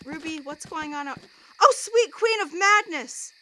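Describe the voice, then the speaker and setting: A woman playing an excitable, panicky friend in a radio drama, exclaiming shrilly. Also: very high voice, unhurried, background noise. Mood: panicked.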